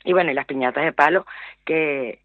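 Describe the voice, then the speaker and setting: A woman's voice: low voice, moderate pace, no echo. A middle-aged woman with a Venezuelan accent, a radio interview guest who talks hesitantly with many fillers and repeated words. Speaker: guest, small business owner. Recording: dry, tinny phone line.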